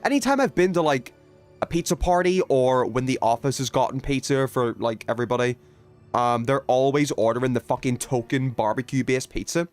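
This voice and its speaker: A man from the UK streams a video game and chats casually with his viewers. He has a middling pitch and talks in an opinionated, emphatic way, swearing freely. Music plays behind his voice. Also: brisk. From United Kingdom. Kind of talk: casual chat with viewers while gaming.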